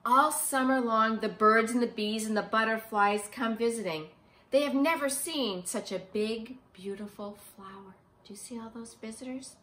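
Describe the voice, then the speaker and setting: A woman's voice: a little high, moderate pace. A middle-aged woman who teaches preschool, reading a picture book aloud expressively and asking young children simple questions. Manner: expressive, emphatic, child-directed delivery. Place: small living room, indoors on a sofa. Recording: roomy home recording.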